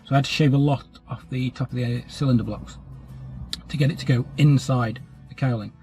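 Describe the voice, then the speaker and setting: A man's voice: mid-range voice, steady tone, fairly quick, warm tone, faint music behind. A British man with a cold, chatting casually about his scale model hobby in a rambling, self-deprecating way. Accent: British English. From England. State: has a cold, slightly stuffy. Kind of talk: casual update chat. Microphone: camera mic.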